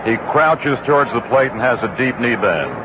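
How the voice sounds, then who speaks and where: A middle-aged man giving steady radio play-by-play of a baseball game, rising to excited calls on big plays. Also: mid-range voice, moderate pace. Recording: muffled old AM radio broadcast with loud hiss.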